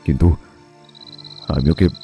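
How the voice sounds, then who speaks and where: A man's voice: rumbling low, fairly even intonation, slow, vocal fry, warm tone, faint music behind, full character voice. An actor playing a haunted, guilt-ridden doctor in an audio horror drama, stammering and repeating his words. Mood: anguished.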